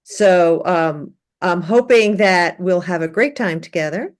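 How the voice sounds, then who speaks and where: A woman teaching an online class, giving a relaxed orientation with many fillers and restarts. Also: fairly low pitch, measured pace. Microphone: video call.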